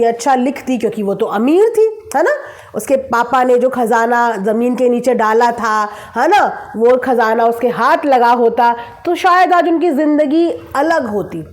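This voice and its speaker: A woman's voice: high-pitched, a little mellow. A woman speaks. She addresses her viewers like an opinionated commentator, leaning on repetition for emphasis. Her manner is sarcastic, built on pointed rhetorical questions. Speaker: vlogger commenting on online creator gossip.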